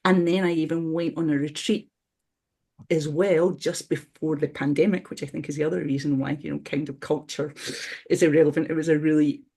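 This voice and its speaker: A woman's voice: low-pitched, fairly even intonation, fairly quick, warm tone, husky. A woman with a Scottish accent, talking reflectively on a webinar panel and often restarting her sentences. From Scotland. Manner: reflective, with frequent restarts and "you know". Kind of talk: casual conversation.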